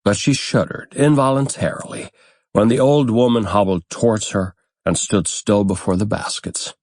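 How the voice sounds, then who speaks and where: A man narrating a fairy tale audiobook in a measured, expressive storytelling manner. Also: lowish voice, no echo, studio quality.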